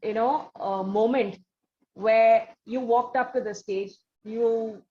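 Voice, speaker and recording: A woman's voice: on the high side, steady tone, warm tone. Dull lo-fi recording of Indian woman interviewer. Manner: wordy, halting questions with repeats. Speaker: interview host. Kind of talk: conversational interviewing. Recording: dull lo-fi audio with faint noise.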